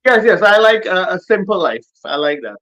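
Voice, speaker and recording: High-pitched Trinidadian man chatting casually. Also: big pitch swings, moderate pace, fairly bright tone, dry recording. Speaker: interview guest, music producer and DJ. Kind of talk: casual chat, reminiscing. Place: remote call, on a phone.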